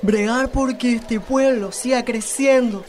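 A woman's voice: fairly high pitch, fairly even intonation, a little slow, darkish tone, lightly acted. A woman playing a timid, bumbling male village police chief in a radio play, meek and pleading. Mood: pious.

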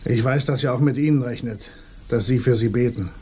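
An actor playing a poor, drunken, bereaved villager in a radio drama, plain-spoken, plaintive and stubbornly pleading. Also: male voice, medium pitch, a little fast, darkish tone, slight background noise, close to an everyday voice. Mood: plaintive, wheedling. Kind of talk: German radio drama acting.

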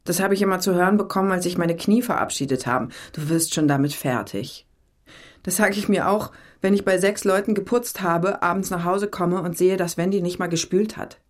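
A blunt cleaning woman played by an actress in a radio drama, with a lowish voice. She is weary but proud, plain-spoken and quick to mock herself. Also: close to an everyday voice. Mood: weary, defiant. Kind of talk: naturalistic radio drama dialogue.

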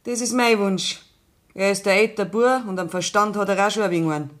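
An actress playing a calm, firm Bavarian farm widow with a Bavarian accent in a radio play. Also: female voice, medium pitch, brisk, natural voice. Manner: calm, firm, plain-spoken. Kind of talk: 1960s radio play acting.

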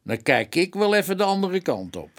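An actor playing a blunt, eager police sergeant in a Dutch radio play, colloquial and stumbling over long words. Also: male voice, high voice, very animated, normal speed. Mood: blunt, indignant. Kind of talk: Dutch radio drama acting.

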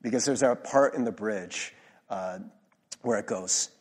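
A male pastor giving a conversational sermon in a church, hoarse-voiced, informal and self-deprecating, with many fillers and restarts. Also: on the low side, unhurried. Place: church sanctuary. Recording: long reverb of a large hall.

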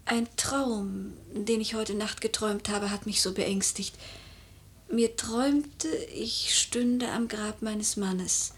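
An actress playing an imperious, troubled noble widow in a classical radio drama, haughty and dramatic. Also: female voice, mid-range voice, takes it slowly. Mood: troubled, hushed. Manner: haughty, dramatic, whispery at times.

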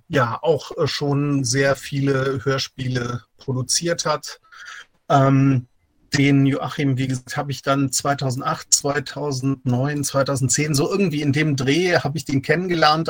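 A middle-aged man, chatting casually as an interview guest, halting with many filled pauses. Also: mid-range voice, no echo. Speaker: interview guest, audio drama writer.

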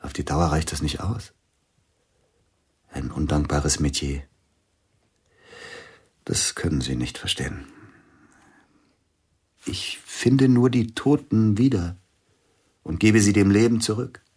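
A weary, husky pianist. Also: male voice, low voice, slow pace, long pauses, full character voice. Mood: despairing. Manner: soft, mournful, often whispering. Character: celebrated male pianist, weary and melancholy. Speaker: actor in radio drama. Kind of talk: radio drama acting.

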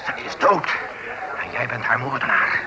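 An actor playing a grieving, enraged old poet in a vintage radio play, stammering and declaiming with passion. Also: male voice, medium pitch, lively intonation, normal speed, close to an everyday voice. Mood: grieving fury. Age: older. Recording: noisy old radio drama recording.

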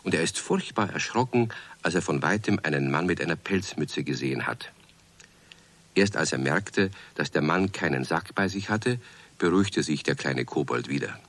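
A male storyteller with a low, deep voice. He narrates a children's radio play calmly and kindly, speaking directly to young listeners. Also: heavily acted.

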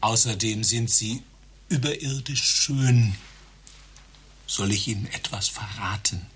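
An actor playing a lovestruck patient, husky and flattering, in a satirical radio play. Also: male voice, lowish voice, slow, heavily acted. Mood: ardent, pleading. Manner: ardent, flattering. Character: lovestruck male patient proposing to a receptionist.

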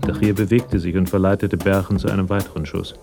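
An actor playing the sober, detached narrator of a German radio crime drama. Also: male voice, low voice, flat delivery, quick pace, vocal fry, music in the background. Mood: sober, tense.

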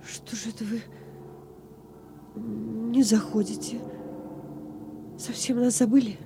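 A woman's voice: on the high side, little pitch movement, slow, vocal fry, noisy background. An actress playing a tender, anxious woman in love, emotional and pleading, in a radio war drama. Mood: eager, tender. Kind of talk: Soviet radio drama acting.

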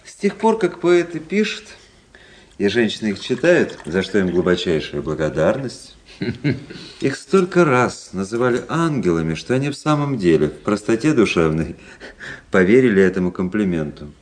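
An actor playing a cynical, cool young aristocratic officer in a Russian radio drama, with ironic, measured, literary delivery. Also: male voice, fairly low pitch, big pitch swings, darkish tone. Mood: mocking, disdainful.

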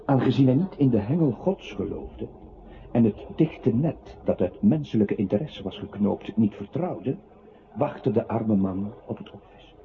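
A mocking voice inside someone's head in a radio drama, low and swooping widely in pitch. The delivery is theatrical and incantatory, heard through a thin, phone-like old recording. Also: male voice, animated, measured pace, a little hiss or hum. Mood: brooding narration. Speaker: radio drama narrator-character. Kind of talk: dramatic radio play narration.

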